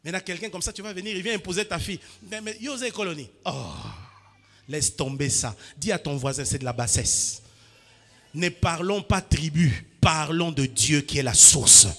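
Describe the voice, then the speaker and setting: A high-pitched, bright-voiced pastor from DR Congo preaches with fervor, drops to soft asides and then rises to ringing shouts that pull his listeners into call and response. His voice rings out through a church hall with a long reverb tail. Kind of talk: sermon.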